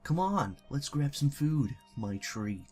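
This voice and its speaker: Guy putting on a young cartoon gang leader, easygoing n plain spoken, bit higher voice. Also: sing-song, slow, darkish tone, slightly rough, soft background music, full character voice. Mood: friendly.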